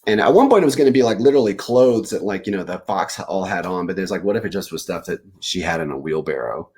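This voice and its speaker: A man talking casually as an author guest in an interview, animated and rambling as he pieces ideas together aloud. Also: sits low, fast, husky. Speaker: children's book author, guest. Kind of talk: casual interview answers about writing.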